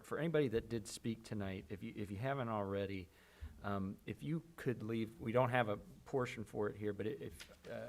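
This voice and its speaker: A man chairing a school board meeting, moving through procedure in a relaxed, rambling way with fillers. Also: on the low side, a little hiss or hum.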